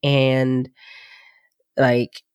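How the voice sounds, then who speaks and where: A woman's voice: very deep voice, little pitch movement, drawn-out words, darkish tone, clean tone, no room sound. A woman hosting her podcast, sounding nasal and congested from a sinus infection, chatting in a loose, rambling, folksy way and calling her listeners y'all. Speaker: podcast host. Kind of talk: casual podcast intro chat.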